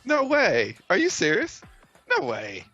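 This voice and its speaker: A young man doing casual gameplay commentary on a stream, excitable and quick to doubt what he hears. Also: sits high, breathy edge, lo-fi sound, faint music behind. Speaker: game streamer.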